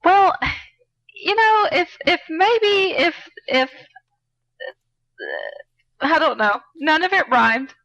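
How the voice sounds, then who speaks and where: A woman's voice: extremely high pitch, very animated, slow pace, vocal fry, pauses often, fairly bright tone, no echo, poor audio. A woman co-hosting a podcast in a casual, conversational way. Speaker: podcast co-host. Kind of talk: casual chat.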